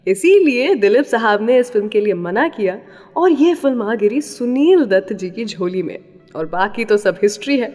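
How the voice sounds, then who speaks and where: A woman's voice: medium pitch, lively intonation, moderate pace, a little mellow. A woman hosting a radio show, reading scripted narration and mixing English phrases into her talk. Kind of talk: scripted radio narration about classic films. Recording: long reverb, faint music behind.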